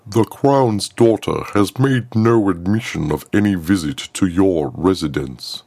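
A grave royal courtier, performed by a male narrator, very low and husky. The formal lines come slowly and with measured weight, in storybook style. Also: a little mellow, no room sound, heavily acted. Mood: stern. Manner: slow, formal.

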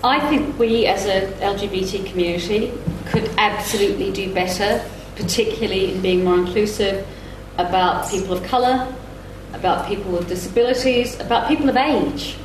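A woman's voice: mid-range voice, moderate pace. A woman giving her view conversationally as a panellist in a live discussion. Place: live festival panel in echoey room. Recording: echoey room, faint noise.

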